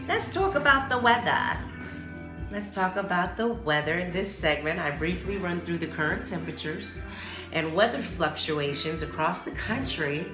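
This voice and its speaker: An American woman hosting a solo podcast in casual talk, speaking bluntly and emphatically, with opinions and swearing. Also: low voice. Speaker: podcast host. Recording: roomy echo, music behind the voice. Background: music.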